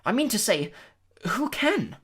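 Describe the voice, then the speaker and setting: A man's voice: high voice, big pitch swings, a little slow, a bit bright, breathy edge, pitched above the performer's own voice, heavily acted. A man voicing a nervous, flustered government official in a storybook, hesitant and stuttering.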